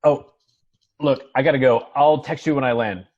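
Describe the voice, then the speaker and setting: A man's voice: medium pitch, normal speed, a little mellow, close to an everyday voice. Reserved middle-aged man, read by an actor, muffled sound. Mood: matter-of-fact. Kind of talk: table read of television drama.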